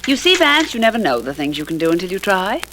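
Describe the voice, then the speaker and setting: A woman playing a pert, quick-witted detective's secretary in 1940s radio drama style, sassy and bantering. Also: mid-range voice, sing-song, a bit bright. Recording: noisy 1940s radio recording.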